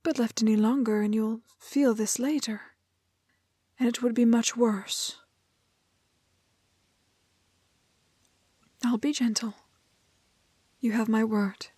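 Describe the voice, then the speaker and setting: A woman playing a prim, plucky, kind-hearted young woman in a fairy-tale roleplay, with soft voice and proper, old-fashioned phrasing. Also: a little high, fairly even intonation, measured pace, dry recording, studio quality. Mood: tender, reassuring. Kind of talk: romantic fantasy roleplay audio acting.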